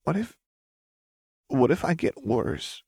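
A man's voice: a little high, very animated, slow pace, mellow, breathy edge, no room sound, studio quality, pitched above the performer's own voice, heavily acted. Man voicing Jinx, a volatile, fragile young woman. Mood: weak, fearful. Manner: snarling outbursts and weak muttering.

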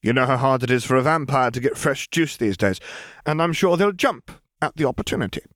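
Audiobook role: brash young female witch crime boss, played by a man, pitched well up, glib, wry and taunting. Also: expressive, brisk, fairly bright tone, dry recording, clean studio sound, natural voice. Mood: weary, mock-polite. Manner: glib, mock-polite, theatrical taunting. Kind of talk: acted dialogue in fan fiction audiobook.

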